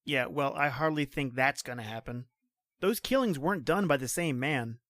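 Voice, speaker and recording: An actor playing a brash, practical young police trooper in an old-time radio drama, with clipped colloquial delivery. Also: male voice, medium pitch, lively intonation, normal speed, fairly bright tone, no echo, close to an everyday voice. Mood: teasing, dismissive.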